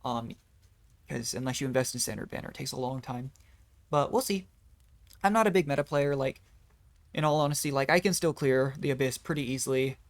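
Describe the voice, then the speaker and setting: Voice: medium pitch, brisk. A game streamer rambling casually through gameplay commentary, thinking aloud in a self-deprecating way. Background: video game music.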